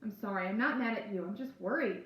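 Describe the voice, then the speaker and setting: A woman's voice: medium pitch, fast talker, fairly bright tone, lightly acted. A woman voicing a worried, skeptical schoolboy in a story read aloud, tense but reasoning. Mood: snappy, worried. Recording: echoey room.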